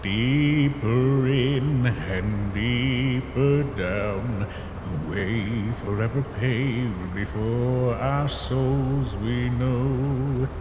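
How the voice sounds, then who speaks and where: A man's voice: mid-range voice, background noise. A man voicing a laid-back, guarded skeleton big brother in a fan comic dub, with a casual drawl. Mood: soothing singing.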